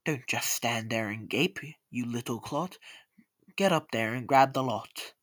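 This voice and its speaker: A man voicing a sharp-tongued, short-tempered mother who scolds her boy emphatically in a storybook rhyme. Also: mid-range voice, unhurried, no room sound. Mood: scornful.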